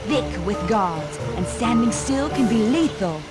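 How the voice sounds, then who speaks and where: A woman's voice: fairly high pitch, expressive, measured pace. A woman voicing a coolly flirtatious female tiger constable in a cartoon video game, poised and teasing. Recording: loud music behind the voice.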